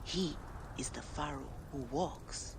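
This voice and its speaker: High-voiced, theatrical, shrewd relic dealer. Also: male voice, slow pace, gravelly, slight background noise. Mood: intrigued. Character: shrewd bookish male relic dealer, eager, roguish. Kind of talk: video game cutscene acting.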